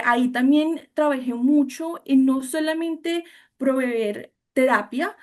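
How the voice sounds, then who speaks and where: Guest, woman, 30s, Colombian accent, fairly high voice, eager and fluent. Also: darkish tone. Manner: eager, fluent, mixes English terms. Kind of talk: interview.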